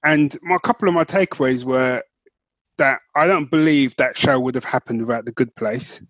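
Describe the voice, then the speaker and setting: A man co-hosting a podcast, chatting casually in an animated, slangy way. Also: mid-range voice, normal speed, no room sound. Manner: animated, informal, slangy, swears freely. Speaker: podcast co-host. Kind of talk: casual chat.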